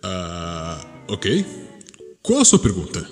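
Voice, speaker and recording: A man plays an awkward, wary Brazilian cartoon stage presenter. He speaks low and slowly, with hesitant, drawn-out words, in an echoing hall. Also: huge pitch swings, breathy edge, faint music behind, full character voice. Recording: echoey hall reverb, muddy.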